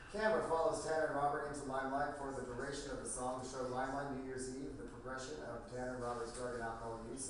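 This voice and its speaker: A man reading stage directions as the narrator at a script table read. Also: mid-range voice. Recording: very echoey room, distant mic, noisy.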